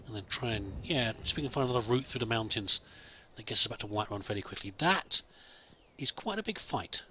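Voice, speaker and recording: Bright-voiced man narrating a game roleplay. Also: mid-range voice, animated, fast talker, slight background noise. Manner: musing aloud, addressing a companion. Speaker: let's play host. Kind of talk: improvised in-character game commentary.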